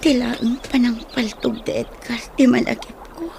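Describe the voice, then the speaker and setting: A woman's voice: a little high, sing-song, moderate pace, gravelly, noisy background. A woman with an Ilocano accent playing a frightened young fugitive in a radio drama, breathless, whispery and pleading. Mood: weak, praying. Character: frightened young woman fleeing armed group, Shalani.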